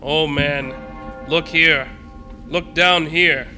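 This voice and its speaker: A man's voice: a little high, fairly even intonation, very slow, music in the background. A man playing a grave, commanding spirit in a radio drama, declaiming solemnly in old-fashioned diction. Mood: stern warning. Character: grave, commanding ghostly spirit guiding a miser. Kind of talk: live stage radio drama acting.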